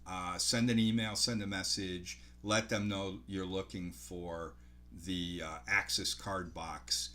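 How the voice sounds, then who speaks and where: A man in his sixties giving casual product news to camera as a game store host, in a halting, rambling way, often correcting himself. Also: fairly even intonation, pushed and bright. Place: small home office by a window, indoors. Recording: clearly noisy home recording, low hum.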